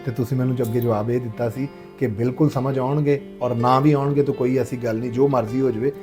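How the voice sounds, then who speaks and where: A man's voice: medium pitch, steady tone, fast, warm tone, soft background music, close to an everyday voice. A man playing a patient schoolteacher, polite and explanatory, with a Pakistani Punjabi accent. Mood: calm, reasoning. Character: patient, reasonable male schoolteacher explaining to parent. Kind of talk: acted moral-story drama.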